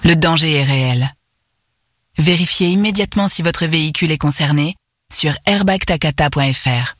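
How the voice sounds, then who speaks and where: A man's voice: fairly high pitch, normal speed, fairly bright tone, no room sound, studio quality. A man reading a serious scripted warning as a public safety announcer.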